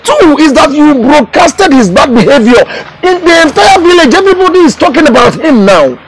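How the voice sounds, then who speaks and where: A reasonable, admonishing village kinsman from a Nigerian film drama. He is middle-aged, with a high, hugely animated voice and an Igbo accent, and presses his point insistently. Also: moderate pace, rough recording. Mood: reasoning, urging. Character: reasonable, admonishing village elder brother figure. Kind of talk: Nollywood film drama acting.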